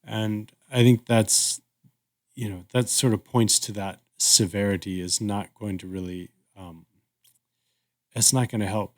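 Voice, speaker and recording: Thoughtful, slightly low-voiced man. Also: fairly even intonation. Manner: thoughtful, halting, searching for words. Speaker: podcast host. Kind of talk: reflective conversational discussion.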